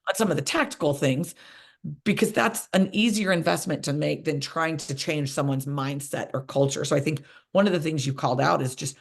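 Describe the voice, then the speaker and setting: A woman's voice: deep voice, a little fast, creaky, few pauses. A woman hosting a conversational panel podcast, speaking as a coach and affirming what her guests say. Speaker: podcast host and business coach.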